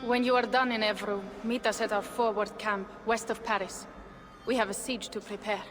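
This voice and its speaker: A woman's voice: mid-range voice, fairly even intonation, normal speed, natural voice. An actress playing a loyal, proud Viking warrior woman in a video game cutscene, speaking earnestly and solemnly. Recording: game audio with reverb and music.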